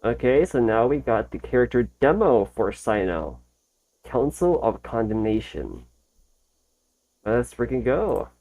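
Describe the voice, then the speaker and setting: A man's voice: mid-range voice, sing-song, a little slow, a little mellow, poor audio. Casual, enthusiastic man reacting to videos. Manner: informal, enthusiastic, slangy.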